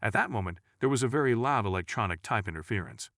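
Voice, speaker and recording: A man's voice: lowish voice, lively intonation, fast, fairly bright tone, no room sound, studio quality. A man reading a scripted first-person paranormal story as a narrator.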